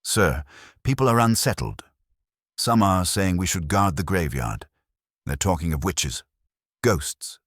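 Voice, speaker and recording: An uneasy police sergeant voiced by a male narrator in a detective story. He is brisk and deferential to his superior, with a low, husky voice. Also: animated, a bit bright, dry recording, clean studio sound, exaggerated voice. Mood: tense.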